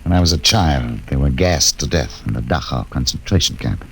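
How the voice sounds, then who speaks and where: A brooding, wry ship's doctor. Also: male voice, extremely low pitch, animated, a little hiss or hum. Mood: somber. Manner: dry, fatalistic, measured. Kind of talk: 1950s radio drama acting.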